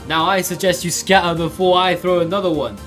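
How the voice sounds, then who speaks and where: A man voicing a fierce, foul-mouthed old grandmother who shouts threats in crude slang. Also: sits high, fairly even intonation, fairly quick, faint music behind, pitched above the performer's own voice. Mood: threatening.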